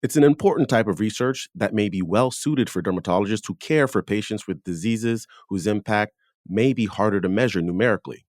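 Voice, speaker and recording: A man's voice: on the low side, fairly quick, darkish tone, no echo, clean studio sound. A man hosting a podcast, reading scripted introductions and putting questions to a guest. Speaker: podcast host and interviewer.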